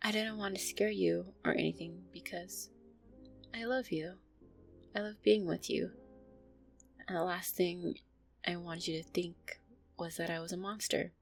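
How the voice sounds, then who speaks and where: Woman acting as vampire girlfriend, slightly low voice, soft and hesitant, tender, affectionate worrier, intimate audio roleplay, music behind. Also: fairly even intonation, a little slow, creaky, long pauses. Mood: affectionate, nervous. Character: gentle, anxious, affectionate centuries-old female vampire girlfriend.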